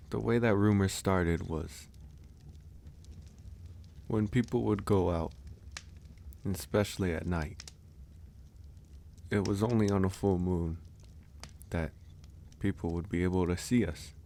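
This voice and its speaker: A man playing a calm, candid, easygoing werewolf in an intimate ASMR audio roleplay, musing unhurriedly with sighs and pauses. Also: deep voice, creaky, a little hiss or hum. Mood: musing, storytelling.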